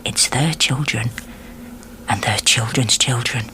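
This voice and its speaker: Northern english miners wife, quiet n hushed, steady, persuasive, bit of background noise, radio play. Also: female voice, a bass voice, takes it slowly, heavily acted, poor audio. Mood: earnest, reassuring. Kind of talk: naturalistic radio drama acting.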